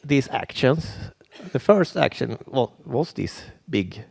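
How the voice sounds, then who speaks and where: A Swedish man with a Swedish accent, giving a conference presentation in English as a second language, halting and full of restarts. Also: medium pitch, expressive, gravelly, husky. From Sweden. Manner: halting, with frequent restarts and fillers. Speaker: conference speaker.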